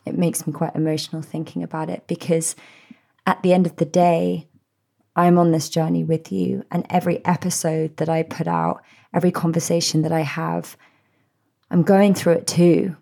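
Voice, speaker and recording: A woman, hosting a podcast and speaking gently and heartfelt to her listeners. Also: low voice, flat delivery, moderate pace, creaky. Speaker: podcast host. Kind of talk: reflective solo podcast address.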